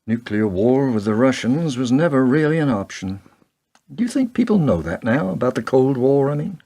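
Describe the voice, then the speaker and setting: A troubled head of state in a radio play, acted by a man. His mid-pitched voice moves up and down a lot as he muses, weary and hesitant. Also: very animated, normal speed, mellow. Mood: pensive, troubled. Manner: pensive, hesitant. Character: weary, troubled American president questioning his own war.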